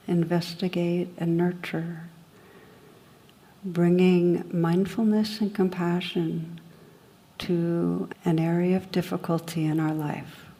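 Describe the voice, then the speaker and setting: Female meditation guide: deep, dark-toned voice, soothing and unhurried, gentle delivery with generous pauses. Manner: gentle, soothing, with long pauses between short phrases.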